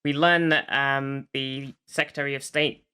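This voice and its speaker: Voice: medium pitch, steady tone, unhurried, bright, forceful tone, smooth. A podcast host recapping a show in casual conversation, feeling for words along the way.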